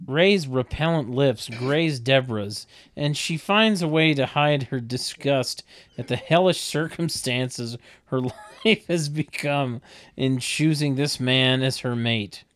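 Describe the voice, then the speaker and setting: Slow, wry deadpan stage-direction narrator. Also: mid-range voice, dry recording. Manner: wry, wordy mock-literary commentary. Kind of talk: deadpan comedic script narration.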